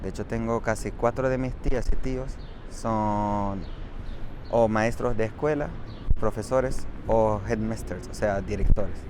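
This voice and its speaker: A man's voice: lowish voice, unhurried. A man, talking through his answers in a casual interview outdoors and correcting himself as he goes. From Saudi Arabia. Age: 30s. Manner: thoughtful and explanatory, with frequent self-corrections. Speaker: interviewee, doctoral student. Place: outdoors on a city street. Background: faint traffic.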